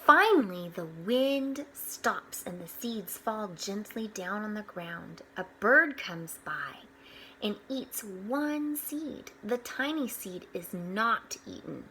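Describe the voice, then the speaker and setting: A woman reading a picture book aloud to children, expressively, pausing for explanatory asides. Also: mid-range voice, slight background noise, rough recording. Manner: expressive, with hushed asides pointing things out.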